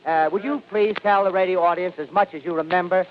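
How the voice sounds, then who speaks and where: An actor playing a live on-scene radio reporter in a radio drama, talking in breathless commentary with hesitant fillers. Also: male voice, high voice, fairly even intonation, fast, natural voice. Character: excitable male field radio reporter. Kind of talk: 1930s radio drama acting. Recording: dull lo-fi radio recording, faint hiss.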